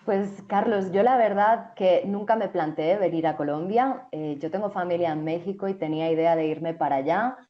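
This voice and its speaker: A woman's voice: lowish voice, moderate pace, hardly any pauses, highs cut off. A young woman from Spain with a Spanish accent, a journalist answering interview questions thoughtfully, with frequent restarts and fillers. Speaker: TV journalist and co-host.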